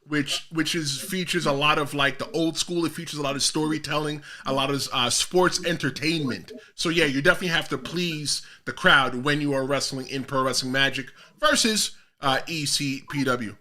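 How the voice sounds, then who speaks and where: A man with a Jamaican American and New York accent hosting a casual interview, energetic and teasing, pushing his guest to speak up. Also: on the high side, moderate pace, hardly any pauses. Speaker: podcast host.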